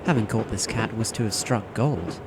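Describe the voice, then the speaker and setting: A man voicing a polite, smooth-talking teenage boy in a fan audio drama. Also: on the low side, lively intonation, normal speed, background noise, natural voice.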